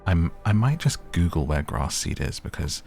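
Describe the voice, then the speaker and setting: A man giving relaxed ASMR gameplay commentary, musing gently and whimsically to the listener. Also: low-pitched, normal speed, gravelly, faint music behind. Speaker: gaming video host.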